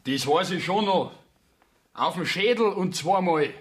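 A man's voice: high voice, expressive, measured pace. An actor playing a blunt, stubborn young village man with a Bavarian accent in a dialect radio play. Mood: defiant. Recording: 1970s radio drama studio recording.

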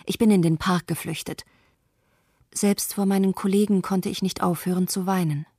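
A woman voicing a shaken architect in a radio play, recalling an earthquake in a measured read narration. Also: mid-range voice, fairly even intonation, mellow, slightly rough, dry recording, studio quality, natural voice. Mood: grave, shaken. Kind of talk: scripted radio documentary monologue.

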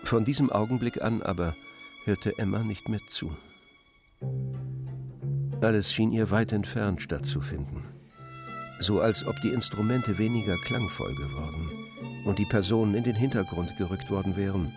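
A male narrator reads literary prose for a radio drama. His voice is fairly deep, and music plays clearly behind it. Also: moderate pace, pauses often.

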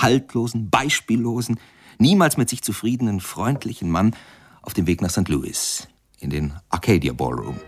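Ein Schauspieler gibt in einem Hörspiel den rhetorisch ausholenden, bedeutungsvollen Erzähler. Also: male voice, fairly low pitch. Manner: rhetorical, piling up adjectives deliberately. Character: grandiloquent male storyteller presenting the tale. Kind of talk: scripted radio drama narration.

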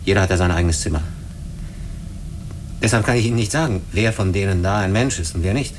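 A low-voiced, earnest crewman played by a male actor in a radio drama, with noticeable background noise. He speaks deliberately and hesitantly, as if sharing a confidence. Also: natural voice. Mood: hesitant, confiding. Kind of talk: German radio drama acting. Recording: clear background ambient noise.

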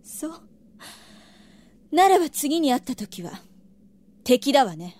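A woman's voice: sits high, big pitch swings, slow pace, a little mellow, heavily acted. A woman acting a proud, seductive succubus noblewoman in an audio drama, cool and taunting. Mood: cold threat.

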